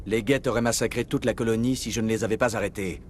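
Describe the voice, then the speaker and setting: An actor playing a steady, determined military commander in a video game, speaking in a serious, restrained way. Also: male voice, on the low side, fast talker, a little hiss or hum, natural voice. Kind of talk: dramatic video game dialogue.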